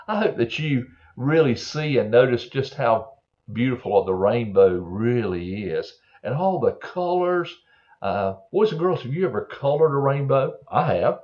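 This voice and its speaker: An older man preaching a Bible story to children in a slow, earnest way, with many fillers and throat clearing, recorded on a webcam in a home office. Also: mid-range voice, darkish tone. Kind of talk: gentle storytelling sermon for children. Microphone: webcam on a desk. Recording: dull lo-fi webcam audio.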